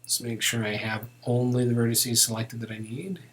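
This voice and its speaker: A man with a medium-pitched voice leads a software tutorial. He talks casually, thinking out loud as he works through each step in an informal way. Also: little pitch movement, normal speed. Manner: informal, thinking aloud while working.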